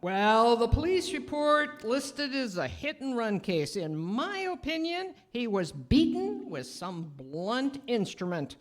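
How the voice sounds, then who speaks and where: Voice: medium pitch, sing-song, takes it slowly, hardly any pauses. A performer playing a matter-of-fact hospital doctor in old-time radio drama style. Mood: matter-of-fact, grave. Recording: echoey hall.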